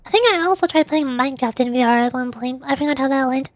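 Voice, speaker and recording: High-voiced woman, playful streamer chat. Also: fast talker, no echo. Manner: playful, rambling. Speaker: VTuber livestreamer. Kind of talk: casual livestream gaming chat.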